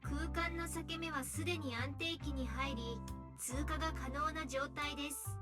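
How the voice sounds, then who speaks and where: A woman's voice: sits high, fairly quick, natural voice. A woman voicing a formal, precise AI assistant in an anime game, reporting information. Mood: matter-of-fact. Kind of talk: Japanese anime game voice acting. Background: game music.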